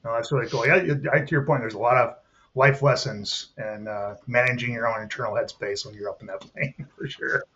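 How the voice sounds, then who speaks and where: A man's voice: mid-range voice, a little fast, pushed and bright, dull audio, a little hiss or hum. A man co-hosting a podcast, chatting casually and agreeably, filling with "you know" and "yeah". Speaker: podcast co-host. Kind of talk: casual interview chat.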